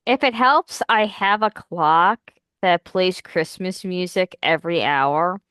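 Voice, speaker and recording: A woman with a slightly nasal, head-cold voice hosts a relaxed podcast chat, taking her time with each phrase. Her tone is smooth and clean despite the cold, and the sound is close and dry. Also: fairly low pitch, slow pace, no echo. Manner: chatty, giggly, self-correcting. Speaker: podcast host. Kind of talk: casual chat.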